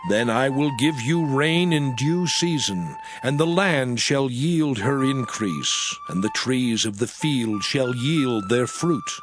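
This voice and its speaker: A man giving a dramatized Bible reading in a solemn, measured, declamatory manner. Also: medium pitch, animated. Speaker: scripture narrator. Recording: music under the voice. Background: prominent music.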